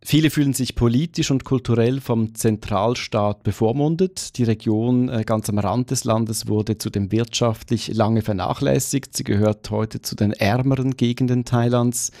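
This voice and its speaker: Mellow male correspondent, dry close sound. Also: mid-range voice, steady tone, normal speed, few pauses, dry recording. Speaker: foreign correspondent. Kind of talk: radio interview answers, explanatory.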